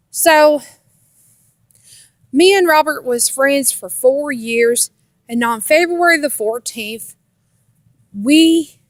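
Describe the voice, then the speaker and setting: A woman's voice: a little high, fairly bright tone, dry recording. An American woman telling a casual personal story in a rambling, repetitive way with folksy grammar. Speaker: storytelling vlogger.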